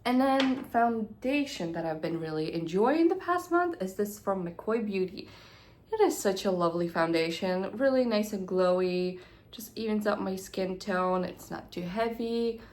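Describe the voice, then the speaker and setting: A young woman vlogging about her beauty favorites, nasal and congested from a cold, talking quickly and sometimes dropping to a near-whisper. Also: mid-range voice, expressive, darkish tone. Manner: chatty, hurried, drops to near-whispers at times. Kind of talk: casual product review to camera.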